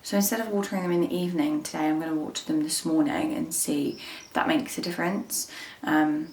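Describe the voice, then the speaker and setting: A woman's voice: low voice, fairly even intonation, brisk, a little mellow. A young woman, chatting casually to camera at home and in her garden, rambling with plenty of fillers. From England. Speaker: lifestyle vlogger. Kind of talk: casual vlog chat to camera. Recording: roomy echo with faint ambient noise.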